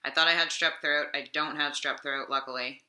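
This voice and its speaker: Chatty young woman, low voice, bright tone. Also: steady tone, a little fast. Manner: chatty, rambling, filler-heavy. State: slightly sick voice. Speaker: book vlogger. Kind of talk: casual weekly book talk. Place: home room. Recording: echoey room.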